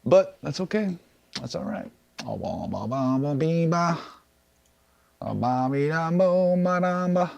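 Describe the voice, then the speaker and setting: A man's voice: fairly high pitch, lively intonation, measured pace, vocal fry, darkish tone, lo-fi sound. A man hosting a live music stream, chatting casually and joking, who drifts into nonsense scat syllables. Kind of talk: casual chat with scat singing.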